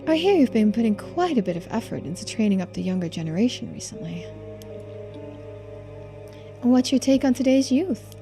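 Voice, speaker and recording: A woman voicing a poised, unflappable female investigator in a video game, polite and gently needling in measured, formal phrases. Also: a little high, animated, faint music behind. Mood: coyly probing. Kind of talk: game character voiced in a playthrough.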